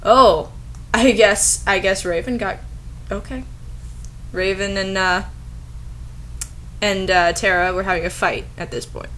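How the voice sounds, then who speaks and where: A woman's voice: mid-range voice, steady tone, unhurried, pauses often, a bit bright, slight background noise. A woman giving sarcastic, exasperated comic commentary as she reviews and reads out bad fanfiction. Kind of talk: comic commentary over a dramatic reading.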